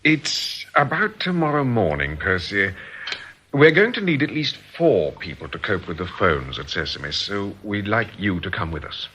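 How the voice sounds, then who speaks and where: An actor playing a suave, authoritative criminal boss in a radio drama, speaking in a measured, formal, businesslike way. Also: male voice, lowish voice, sing-song, sharp tone, breathy edge, exaggerated voice. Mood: smooth, persuasive. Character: suave, authoritative male criminal boss running a scheme like a company.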